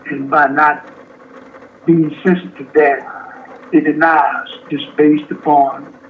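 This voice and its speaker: A man in his seventies, in prison, speaking slowly and haltingly in an interview, with many restarts. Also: on the high side, little pitch movement. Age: 70s. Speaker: incarcerated interviewee. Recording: tinny phone line with faint hiss.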